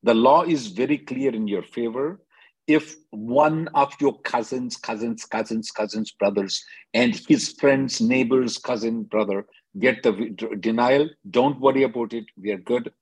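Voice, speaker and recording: Husky man, brisk and emphatic. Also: mid-range voice, no room sound, low-quality recording. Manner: brisk, emphatic, repeats phrases for stress. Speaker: immigration lawyer hosting a call-in. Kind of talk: advising callers on a conference call.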